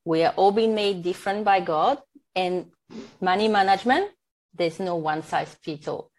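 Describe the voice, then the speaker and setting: A woman's voice: on the low side, normal speed, darkish tone. French-accented woman, Tahitian money coach. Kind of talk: podcast interview.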